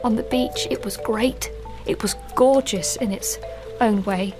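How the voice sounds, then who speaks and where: An actress playing a young woman ending her engagement, halting and apologetic in a radio drama. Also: a little high, over music. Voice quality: halting, soft-spoken, full of restarts. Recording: faint rain noise behind. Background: rain.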